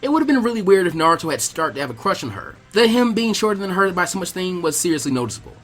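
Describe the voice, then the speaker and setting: A man's voice: sits high, very animated, rapid-fire, a bit bright, faint music behind. A man narrating fan fiction as a scripted story reading.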